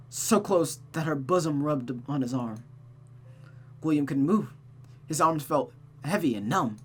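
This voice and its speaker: Woman reading a visual novel out loud, lowish voice, dramatic storytime style, music underneath. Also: breathy edge. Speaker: storytime host, game reader.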